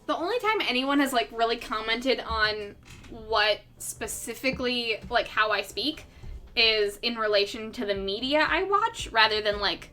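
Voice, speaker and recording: A young woman talks casually to her stream viewers in a bright, lightly raised voice. Her talk rambles, packed with fillers, and laughter often breaks in. Soft music plays faintly behind her. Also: fairly high pitch, expressive, unhurried. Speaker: artist streamer. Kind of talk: casual livestream chat with viewers. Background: faint background music.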